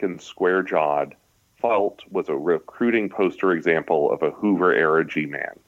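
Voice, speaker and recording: A man's voice: deep voice, no room sound. An American man, a guest author, reads a passage of his nonfiction book aloud. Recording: slightly dull, lo-fi audio.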